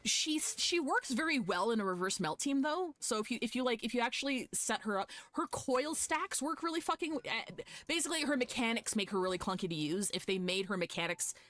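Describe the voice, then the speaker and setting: A woman streaming a game live, chatting casually with viewers in an animated, sweary, fan-like way. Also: on the high side, brisk. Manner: foul-mouthed. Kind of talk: casual chat with viewers while gaming.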